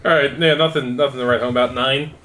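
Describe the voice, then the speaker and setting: A man's voice: medium pitch, fairly even intonation, fast talker, pushed and bright, a little hiss or hum. A man chatting casually and improvising role play as a player in a tabletop game.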